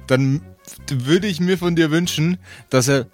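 A man's voice: on the high side, unhurried, darkish tone, faint music behind. A man chatting casually at a tabletop game, emphatic and playfully mock-serious. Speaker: podcast member at a tabletop game. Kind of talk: casual chat.

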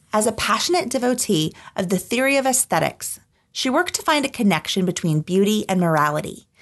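A woman hosting a podcast, reading scripted narration in a measured, articulate storytelling manner. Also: mid-range voice. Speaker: podcast host. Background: background music.

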